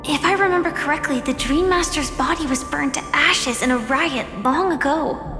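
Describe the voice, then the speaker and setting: A young woman voicing an earnest, soft-spoken game heroine, wary yet resolute. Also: sits high, normal speed. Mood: thoughtful. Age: young adult. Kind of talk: video game cutscene voice acting. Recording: long echoing reverb, game music behind.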